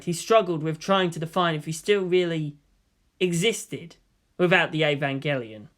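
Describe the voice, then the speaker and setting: A man with a fairly high voice narrates a story. He reads from a script in the style of an audiobook of fan fiction. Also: fairly bright tone.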